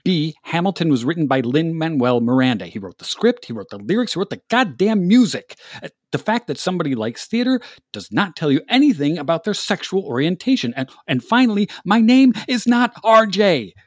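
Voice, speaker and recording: A man plays an office worker worn thin by his coworkers, dry and exasperated. His pitch moves widely. The recording is close and dry. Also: big pitch swings, fairly quick, few pauses, no room sound. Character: fed-up male office worker who acts. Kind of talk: comedy sketch.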